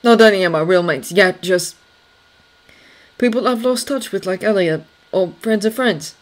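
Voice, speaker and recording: A woman's voice: mid-range voice, moderate pace, lightly acted. A woman reading the part of a grieving young male servant in an audiobook, cross and sarcastic, with curt British slang. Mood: bitter, upset.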